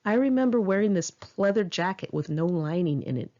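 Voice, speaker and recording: A woman's voice: lowish voice, animated, brisk, warm tone, dull audio. A woman reading her own memoir aloud in an informal audiobook style, candid, wry and conversational. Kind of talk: informal first-person audiobook narration with asides.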